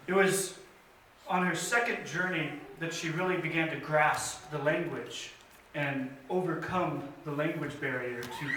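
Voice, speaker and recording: A man telling a missionary's life story at a church story time, in an earnest, measured way with pauses and small fillers. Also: fairly high pitch, noisy background. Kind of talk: children's story time retelling. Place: church sanctuary. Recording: very echoey church hall from a distance.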